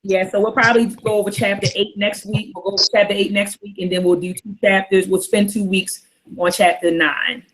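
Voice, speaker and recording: A woman's voice: mid-range voice, flat delivery, brisk, low-quality recording. Rambling woman hosting a book club. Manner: rambling, repeats herself. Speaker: host. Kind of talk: casual book club chat.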